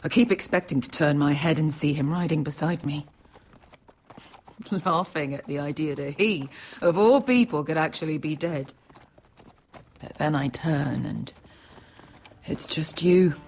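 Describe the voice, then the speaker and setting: A woman's voice: low voice, unhurried, long pauses, a little mellow, husky, faint music behind, heavily acted. An actress playing a tough, grieving female sellsword who talks bluntly and swears freely, in a story game. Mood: grieving, bitter.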